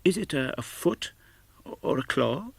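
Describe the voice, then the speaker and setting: A man's voice: a little high, very animated, measured pace, gravelly, slightly rough, no room sound, pitched above the performer's own voice, full character voice. A man reading a storybook as a humbled old miser, fearful, hesitant and stammering. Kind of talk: classic storybook audiobook character voice.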